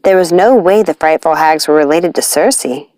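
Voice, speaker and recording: A woman's voice: low voice, normal speed, a little mellow, dry recording, low-quality recording. A woman narrating a scripted story as an audiobook reader.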